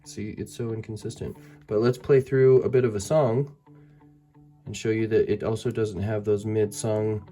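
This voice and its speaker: Man, low relaxed voice, hesitant casual reviewer thinking aloud, faint music behind. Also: moderate pace. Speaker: amateur product reviewer. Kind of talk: casual hands-on gadget review. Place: indoors by a window. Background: music tracks played as demonstration.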